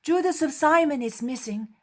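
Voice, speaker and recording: A man's voice: extremely high pitch, lively intonation, normal speed, fairly bright tone, pitched above the performer's own voice. A woman voicing Andrew, an earnest adult male disciple who asks questions in a raised, inquiring voice.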